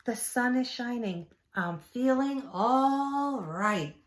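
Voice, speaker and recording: A woman voicing a small, excitable storybook squirrel with loud, stretched-out words. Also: on the high side, slow pace, pitched above the performer's own voice. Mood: delighted. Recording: echoey room.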